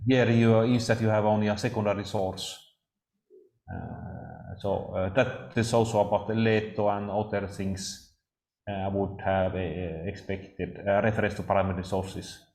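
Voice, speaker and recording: A Swedish man giving an academic talk, speaking haltingly with frequent pauses and restarts. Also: fairly low pitch, lively intonation, gravelly, darkish tone. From Sweden. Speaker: lecturer. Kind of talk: academic discussion. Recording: roomy echo.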